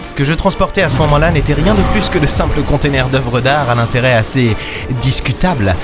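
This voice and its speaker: A man playing a weary, sardonic space captain in an audio drama, speaking in a measured, wry storytelling way. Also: mid-range voice, natural voice. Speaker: lead character and narrator. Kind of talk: audio drama acting and first-person narration. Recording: music behind the voice. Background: music.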